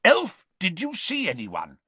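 A man voicing a gruff, hot-tempered middle-aged wizard official in a storybook reading, in a blustering, growling manner. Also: fairly high pitch, big pitch swings, a little slow, fairly bright tone, no room sound, voice pushed up, full character voice. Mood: impatient.

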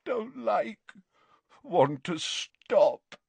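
Delirious elderly wizard, high strained pitch, slow anguished pleading. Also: male voice, lively intonation, gravelly, breathy edge, dry recording, exaggerated voice. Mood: frightened pleading. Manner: moaning, pleading, drawn-out words. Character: frightened, delirious old male wizard, pleading in agony.

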